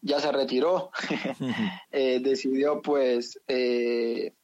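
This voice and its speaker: A man's voice: medium pitch, steady tone, drawn-out words, darkish tone, no room sound, dull audio. A young man from Honduras with a Honduran accent, a guest on the show, speaking hesitantly with many fillers and restarts. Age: young adult. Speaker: guest, professional footballer.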